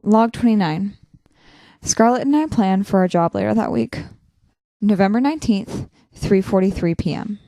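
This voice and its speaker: A woman's voice: medium pitch, animated, moderate pace, dark tone, dry recording, lightly acted. A young woman playing an anxious, sardonic college-student supervillain in a naturalistic audio drama. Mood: matter-of-fact. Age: young adult.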